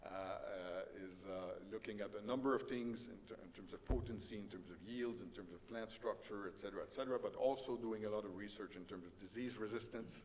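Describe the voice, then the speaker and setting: A man's voice: on the low side, darkish tone. French Canadian man speaking in a hall. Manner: halting, many fillers, light self-deprecating humor. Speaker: conference speaker. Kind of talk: conference presentation. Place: conference hall. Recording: reverberant conference hall with faint noise.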